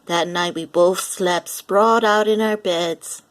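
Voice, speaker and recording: Slow-paced woman reading a children's story. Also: lowish voice. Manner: animated, deliberate, acts out lines and sound effects. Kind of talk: expressive read-aloud of a picture book for young children.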